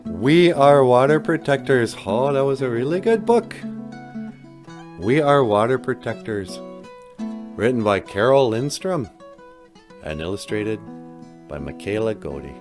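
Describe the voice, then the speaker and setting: Picture a cheerful trickster who hosts a children's book show, played by a man. He exclaims heartily and welcomingly, with pitch that leaps around freely. Music plays along behind him. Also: very animated, a little slow, pauses often. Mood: cheerful, excited. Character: Nanaboozhoo, cheerful storytelling trickster host character.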